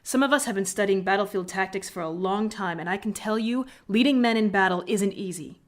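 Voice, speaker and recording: A woman's voice: mid-range voice, a little fast, lightly acted. A woman voicing a proud, stern rebel military tactician in a video game, curt, sardonic and articulate, given to lecturing. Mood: stern, contemptuous. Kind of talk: scripted video game character acting.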